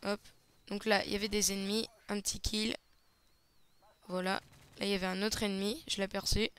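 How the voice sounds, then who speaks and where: A man giving casual, hesitant play-by-play commentary over a shooter match, slightly hoarse, with lots of fillers. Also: high-pitched, little pitch movement, fairly quick, a bit bright. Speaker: gaming channel host. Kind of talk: gameplay commentary.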